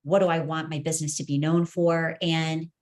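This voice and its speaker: A middle-aged woman with a slightly low voice talks as an interview guest. She is earnest and thoughtful, reflecting on her own experience and ideas in a conversational way. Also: little pitch movement, normal speed, darkish tone, a little hiss or hum. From grew up in the Middle East. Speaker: podcast guest, entrepreneur and coach. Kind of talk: reflective personal storytelling in an interview.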